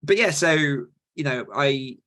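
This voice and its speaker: A man's voice: a little high, expressive, slow, pushed and bright. A man hosting a podcast in casual conversation, earnest and reflective as he thinks his points through.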